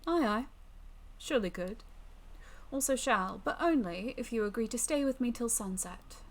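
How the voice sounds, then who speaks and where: A woman voicing a menacing, mocking fiend in a storybook tale, using rough British slang. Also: fairly high pitch, lively intonation, normal speed, voice pushed up. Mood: teasing. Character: menacing, teasing fiend, chestnut-roasting storyteller.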